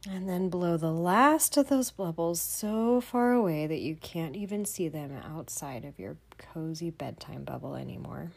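A woman leading a children's bedtime meditation in a soothing, gentle manner, often dropping to a breathy near-whisper. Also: fairly low pitch, lively intonation, measured pace, hardly any pauses. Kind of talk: guided bedtime meditation for kids.

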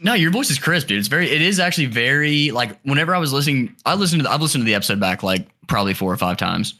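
A young man co-hosting a casual podcast chat, with a deep, throaty voice and a slight bro tone, laughing often and swearing freely. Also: expressive, rapid-fire, pushed and bright. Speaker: podcast co-host.

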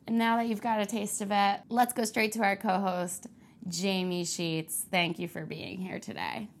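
A young woman hosting a casual podcast from a kitchen, ranting and cursing with excitable energy. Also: mid-range voice, moderate pace, creaky. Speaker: podcast host. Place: kitchen at home.

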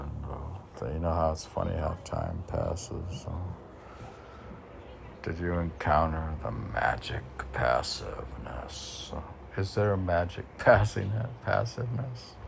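Low, creaky-voiced man, musing slowly. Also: slight background noise. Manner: musing, quizzical, talking to himself. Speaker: poet reading own work. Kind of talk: informal poetry reading with self-questioning asides.

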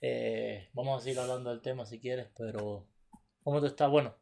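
A man's voice: medium pitch, steady tone, normal speed, creaky, darkish tone, a little hiss or hum. A man hosting a podcast in casual chat, teasing and talking over his co-host.

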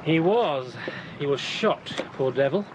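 A man's voice: fairly high pitch, animated, slow, heavy background noise. An actor playing a wary, matter-of-fact boatman in a radio drama. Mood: guarded. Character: wary Cairo boatman, adult man.

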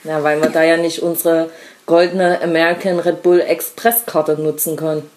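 A woman's voice: low-pitched, flat delivery, a little mellow. Joking woman co-host, bantering. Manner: joking, quick-witted banter. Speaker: podcast co-host. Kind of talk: casual podcast chat.

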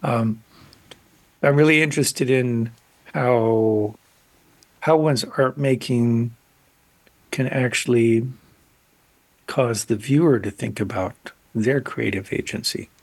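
An older man, a guest artist on a radio interview, answering thoughtfully with frequent restarts and pauses. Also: medium pitch, no echo.

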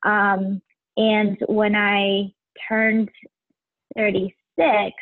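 A woman in her forties from Taiwan, a podcast guest, talking in a soft, casual way with frequent ums and light laughs. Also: mid-range voice, flat delivery, extremely slow, no echo. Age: 40s. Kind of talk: casual interview chat. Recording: tinny phone-like line, dry.

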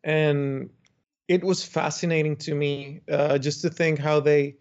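A man's voice: a little high, darkish tone. A young man from the Dominican Republic, an architect guest telling his story in a chatty way with restarts. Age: young adult. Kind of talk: interview conversation. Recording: muffled lo-fi remote audio.

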